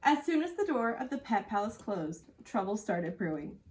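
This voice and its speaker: A woman's voice: medium pitch, sing-song, normal speed, slight background noise. A woman reading a children's picture book aloud as a storyteller, recorded at a distance from the microphone. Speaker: school storyteller.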